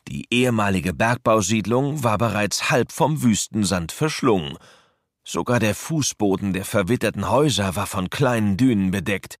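A man narrating a children's adventure audio play as a measured storyteller. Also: fairly low pitch, expressive, no room sound, studio quality. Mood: suspenseful.

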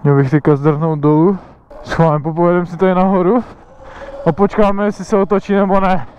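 Young man, from the Czech Republic, motovlog chatter, frequent laughter, casual swearing, slightly high voice, muffled lo-fi helmet mic. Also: fairly even intonation, darkish tone, a little hiss or hum. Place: outdoors riding an electric motorbike. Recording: dull lo-fi sound.

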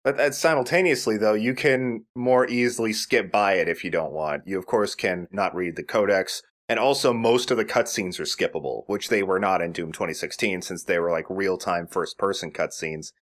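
A man's voice: deep voice, moderate pace, few pauses, a bit bright. A man giving casual, explanatory game commentary with rambling asides. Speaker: let's play commentator.

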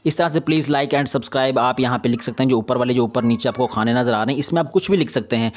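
A man's voice: medium pitch, very fast. A man hosting an informal step-by-step screen tutorial, instructing with frequent repeated confirming tags. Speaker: video editing tutorial host.

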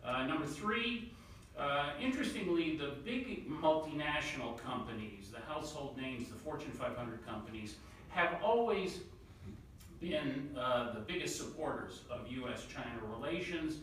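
A bright-voiced man speaks quickly at a conference table, piling up "uh"s and restarts. He is picked up from a distance in a large, very reverberant room with a faint hiss. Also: medium pitch, expressive. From New York City area. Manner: quick-talking, with many fillers and repeated words. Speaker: panelist. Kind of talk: policy panel remarks. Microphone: table mic, recorded from the far side of the room. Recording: echoey room audio from far off.

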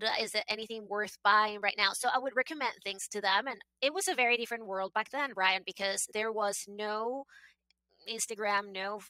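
Bright, high-energy woman guest. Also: dry recording, poor audio. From Venezuela. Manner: effusive, high-energy, gestures while talking. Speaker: guest, art advisor. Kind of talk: casual interview talk. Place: home office with bookshelves, indoors. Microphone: headset microphone.

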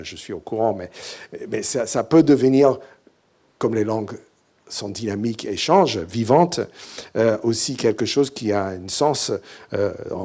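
A man's voice: fairly low pitch, warm tone. A middle-aged man from English-speaking Canada, speaking French with an English Canadian accent in a hesitant conference talk full of fillers, on a hall stage with a handheld mic. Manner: hesitant, many "euh" fillers and restarts. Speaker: keynote speaker.

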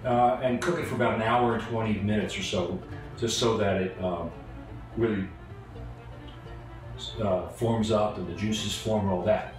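A man's voice: lowish voice, fairly even intonation, moderate pace, long pauses. A middle-aged man hosting a home cooking show, talking casually and self-deprecating as he works. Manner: self-deprecating, chatty, mock-nervous asides. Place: home kitchen, indoors. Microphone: far camera on the counter. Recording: roomy kitchen echo, distant mic, music behind.

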